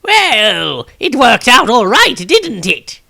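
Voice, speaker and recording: A man voicing a very old, wistful and cheeky male wizard in a storybook, with drawn-out words. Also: very high-pitched, wildly swooping pitch, creaky, pushed and bright, pitched above the performer's own voice, exaggerated voice. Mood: cheerful farewell.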